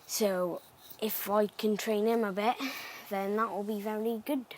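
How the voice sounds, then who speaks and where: A young man from Cardiff with a Cardiff Welsh accent, chatting excitably through gameplay commentary with rambling asides. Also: sits high, measured pace. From Cardiff, Wales. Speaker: gaming YouTuber.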